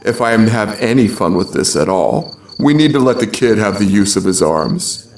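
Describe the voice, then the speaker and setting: An actor playing a sly, swaggering soldier in a fantasy audio drama, with a gravelly voice and a theatrical delivery. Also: male voice, lowish voice, very animated, moderate pace, darkish tone, a little hiss or hum. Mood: sly, taunting.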